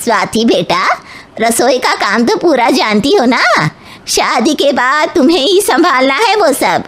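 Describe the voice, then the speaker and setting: Woman as a very high-pitched pushy aunt. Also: sing-song, unhurried, heavily acted. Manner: eager, persuasive. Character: pushy, chirpy middle-aged matchmaking aunt.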